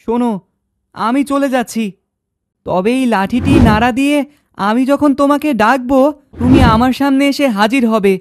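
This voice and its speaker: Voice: high-pitched, moderate pace, pauses often, no echo, studio quality, close to an everyday voice. An actor voicing a bossy, precocious little village boy in a cartoon, self-assured and threatening. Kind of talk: cartoon dialogue acting.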